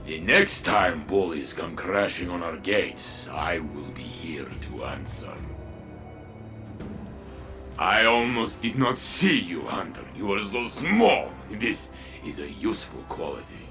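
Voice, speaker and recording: Video game Titan hero: hearty, blunt, very forceful tone, slow and weighty, music underneath. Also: male voice, low voice, big pitch swings, full character voice. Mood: proud, welcoming. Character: hearty, proud, heroic male armored Titan warrior.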